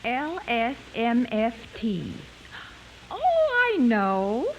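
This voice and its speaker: A swooping, sly, sweet-talking radio wife. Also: female voice, fairly high pitch, huge pitch swings, very slow, exaggerated voice. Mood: sly, playful. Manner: sweet endearments with sly barbs. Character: teasing, deadpan-witted wife, mock-sweet to her husband. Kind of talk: 1950s radio comedy acting. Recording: faintly noisy 1950s radio recording.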